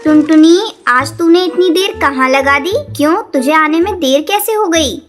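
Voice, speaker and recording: A woman's voice: high voice, moderate pace, lightly acted. Woman doing a bossy middle aged mom bird in a cartoon, stern n scolding, music behind. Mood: nagging. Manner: stern, emphatic. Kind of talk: Hindi cartoon character dialogue.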